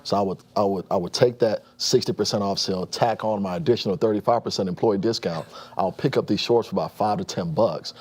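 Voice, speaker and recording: A man in his thirties who grew up in Nigeria, speaking as a guest in a relaxed interview. His voice sits a bit low and carries a husky edge, and he talks fast. He tells his story animatedly, often restarting his sentences.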